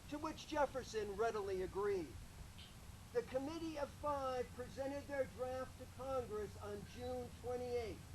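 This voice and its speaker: A man speaking to a gathered audience at a public ceremony, giving a loud, folksy history talk and joking with the crowd. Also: very high-pitched, noisy background.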